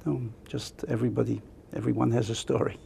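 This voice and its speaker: An older man whose native language is French, a guest author speaking reflectively in an interview with many pauses and fillers. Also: medium pitch, fairly even intonation, creaky, mellow, a little hiss or hum.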